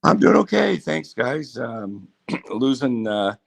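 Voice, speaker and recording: A hoarse man in a casual sports talk chat, speaking haltingly with many fillers. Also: medium pitch, sing-song. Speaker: show panelist.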